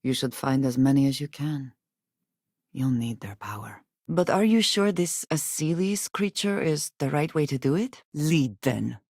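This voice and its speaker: An actress playing a guarded, earnest woman space adventurer in a video game, speaking in measured, formal phrases with few contractions and a dry wit. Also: deep voice, lively intonation, a little mellow, no room sound, heavily acted. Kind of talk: video game character acting.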